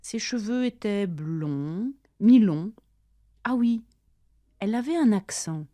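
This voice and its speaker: A woman reads her half of a scripted practice dialogue, slowly and with deliberate care so learners can follow. Her tone is warm and mellow, and the recording is dry and close, with no room sound. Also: medium pitch, expressive, dry recording. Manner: careful, deliberate delivery for learners. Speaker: language-lesson dialogue reader. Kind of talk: slow scripted dialogue, each line read twice.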